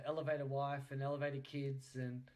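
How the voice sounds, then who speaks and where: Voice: medium pitch, level tone, fast, a little mellow. Playful, teasing podcast host chatting casually.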